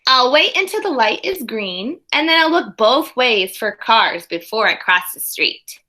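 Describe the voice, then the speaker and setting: A woman's voice: on the high side, big pitch swings, a little slow, pushed and bright. A woman teaching young children, reading a storybook aloud expressively and chatting to them in conversational asides. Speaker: early primary school teacher.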